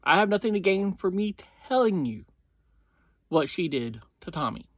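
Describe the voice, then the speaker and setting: A man hosting a review series, giving a casual, informal recap in halting phrases that often open with "well". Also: sits high, big pitch swings, no room sound, studio quality.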